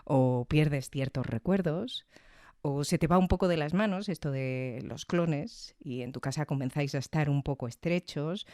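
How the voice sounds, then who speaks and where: A woman's voice: deep voice, mellow, no room sound, studio quality. A woman hosting a podcast, giving reflective, conversational scripted commentary with tag questions. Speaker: podcast host.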